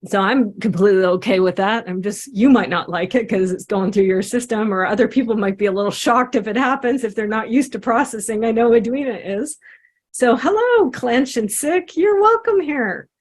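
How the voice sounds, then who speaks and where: A woman facilitating a guided self-inquiry session over a video call, speaking in a gentle, encouraging way. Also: on the high side, moderate pace. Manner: gentle, encouraging, drawing while talking. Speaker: satsang facilitator and coach.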